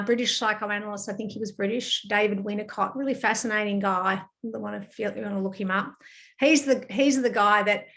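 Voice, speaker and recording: A woman, croaky from a sinus problem, talks to a group over a video call. She speaks gently and reassuringly, with frequent ums and small restarts, into a computer mic. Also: medium pitch. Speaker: counsellor hosting an online group session.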